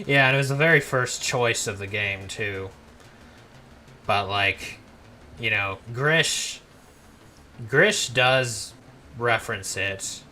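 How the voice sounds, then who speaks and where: A man's voice: medium pitch, slow, pauses often, sharp tone. A man giving casual let's-play commentary, rambling with frequent restarts as he plays. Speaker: gameplay commentator.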